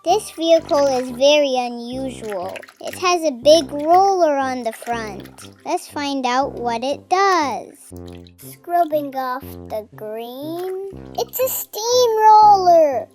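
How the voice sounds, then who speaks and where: A woman's voice: high voice, lively intonation, takes it slowly, darkish tone. A woman narrating a scripted educational video for young children, in a simple, playful way with questions and exclamations. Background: children's background music.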